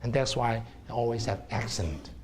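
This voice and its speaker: A man's voice: medium pitch, normal speed, darkish tone, a little hiss or hum. A middle-aged man with a Hong Kong accent, a herbal doctor giving a seminar talk and explaining to the audience, checking in with "okay?". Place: seminar room.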